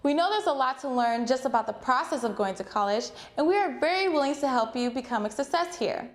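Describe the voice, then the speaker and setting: Higher-pitched woman giving scripted student guidance. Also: animated, fairly quick. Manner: instructional, addressing students directly. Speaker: college advising presenter. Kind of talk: scripted informational narration.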